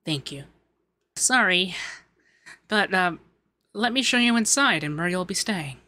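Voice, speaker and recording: A man playing a shy, sweet young femboy heir in an ASMR roleplay, hesitant and gently flustered, stammering as he talks. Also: high voice, lively intonation, a little slow, heavily acted. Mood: excited, welcoming. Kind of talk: ASMR roleplay audio drama.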